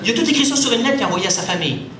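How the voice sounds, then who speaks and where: A young man with a Québécois French accent doing a lively stand-up routine, slipping into put-on voices. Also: very high voice, racing, noisy background. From Quebec. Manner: animated, switches into put-on voices and English. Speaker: stand-up comedian. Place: comedy club stage with a live audience. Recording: roomy, distant live stage sound, loud crowd. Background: audience laughter.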